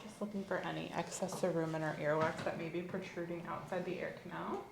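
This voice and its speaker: A woman speaking calmly and clinically as an examiner in an ASMR medical role-play, often dropping to a whisper. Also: fairly low pitch, fast talker, gravelly, reverberant, background noise. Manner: calm, clinical, soft-spoken.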